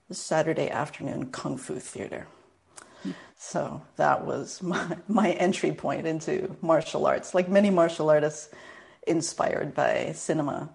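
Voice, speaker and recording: An American woman speaking as an interview guest, telling personal stories reflectively and pausing often in thought. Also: low voice, vocal fry, darkish tone. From Northern Virginia, United States. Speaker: guest, martial arts and spiritual teacher. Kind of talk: reflective personal storytelling in conversation.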